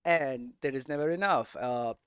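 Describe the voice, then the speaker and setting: A man giving an informal explanatory lesson as a presenter, posing questions and answering them himself in halting, emphatic phrases. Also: mid-range voice, clear, resonant tone, no echo. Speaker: presenter of a game development explainer series.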